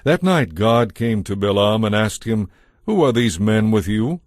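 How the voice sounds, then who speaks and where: Low-pitched male scripture narrator, dry sound. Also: animated, measured pace, dry recording.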